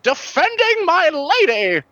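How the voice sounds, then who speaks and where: A man's voice: very high voice, wildly swooping pitch, slow, bright, forceful tone, dry recording, full character voice. An actor playing a nervous, evasive, melodramatically devoted man in a comic radio play, stammering and flustered. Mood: wounded, melodramatic. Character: flustered, evasive, theatrically devoted male sidekick.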